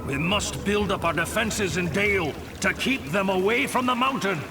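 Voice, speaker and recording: An actor playing a dutiful dwarf soldier in a fantasy game, speaking in an urgent, emphatic way. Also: male voice, sits high, normal speed, background noise. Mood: briefing. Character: urgent, dutiful male dwarf soldier advising his king. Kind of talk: fantasy game character acting. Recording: loud music over the voice.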